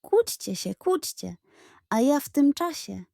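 A woman's voice: high-pitched, sing-song, takes it slowly, gravelly, warm tone, no echo, clean studio sound, voice pushed up, exaggerated voice. A woman voicing a cheeky, scheming, whiny pug dog in a children's audio story. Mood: sly.

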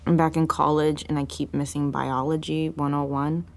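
A young, dutiful older sister in an audio drama. Her voice sits a little low for a woman. She talks casually, with a dry, teasing, world-weary edge. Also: level tone, moderate pace, vocal fry. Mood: weary, wistful.

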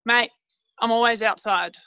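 A woman's voice: on the high side, fairly even intonation, a little slow, sharp tone, clean tone, dry recording. A woman playing a brash Aussie bunker prepper. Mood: chummy. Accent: Australian. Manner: chummy, rambling, calls everyone mate. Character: brash Australian woman prepper, cheery and clueless. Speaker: guest. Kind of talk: improvised comedy character. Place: outdoors.

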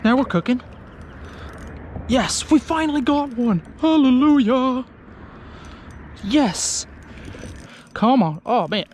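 Young guy, high-ish voice, casual fishing vlog from a boat on a lake, quick to get hyped. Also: a little hiss or hum. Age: young adult. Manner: chatty, excitable when fish bite. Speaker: fishing vlogger. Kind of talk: casual outdoor vlog commentary. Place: small boat on a lake outdoors. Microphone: action camera on the boat.

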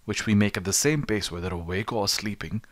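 A man narrating an audiobook of philosophy in a measured, rhetorical way, with emphatic delivery. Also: on the low side. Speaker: audiobook reader.

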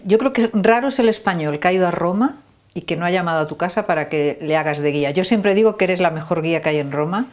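Middle-aged woman, low-pitched and quick, casual kitchen interviewer. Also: darkish tone. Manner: brisk, short prompting questions. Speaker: host. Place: home kitchen.

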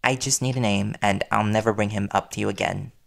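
A man playing a worried, devoted young reporter boyfriend in an intimate audio roleplay, speaking earnestly and soothingly with hesitant pauses. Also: on the low side, steady tone, vocal fry. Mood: urgent pleading.

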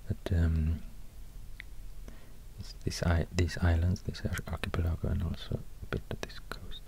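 A man with a Swedish accent giving soft-spoken, whispered ASMR in a hushed, halting way, close to a binaural microphone. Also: sits low, completely flat delivery, gravelly. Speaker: ASMR host.

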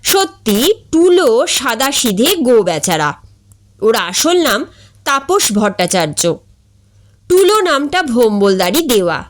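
Slow, lively woman storyteller. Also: a little high. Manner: expressive, slow and deliberate. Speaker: story reader. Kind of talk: scripted storytelling narration.

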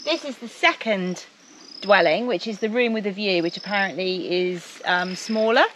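A woman's voice: medium pitch, normal speed, a bit bright. Enthusiastic middle-aged woman, noisy outdoor recording. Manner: enthusiastic, easily impressed. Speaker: vlogger showing viewers around. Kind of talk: casual chatty walk-through tour. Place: walking outdoors and through open bush shacks. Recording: noisy on-the-move camera audio.

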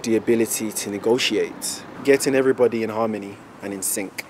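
A young man, an entrepreneur, speaks earnestly and deliberately in an outdoor interview. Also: fairly low pitch, darkish tone, slight background noise, rough recording. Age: young adult. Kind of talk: interview answer.

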